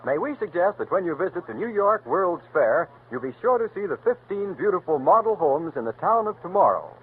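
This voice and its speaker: Male announcer, high voice, tinny old radio with faint hiss. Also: sing-song, a little fast, husky.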